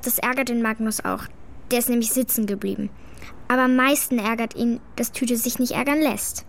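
High-voiced earnest schoolgirl. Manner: matter-of-fact, childlike. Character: earnest, frank schoolgirl witness. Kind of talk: naturalistic children's radio play.

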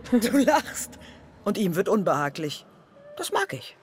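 An actress playing a mocking, goading inner voice of fear in a radio drama, husky and teasing. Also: female voice, medium pitch, sing-song, slow pace, a little hiss or hum. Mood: gleeful, mocking. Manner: teasing, swooping delivery.

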